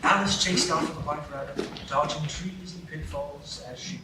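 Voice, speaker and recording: A man's voice: fairly high pitch, fairly even intonation. Male narrator in an echoey hall. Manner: measured, storytelling. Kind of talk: storybook narration in a live radio play. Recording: echoey hall, distant mic, noisy.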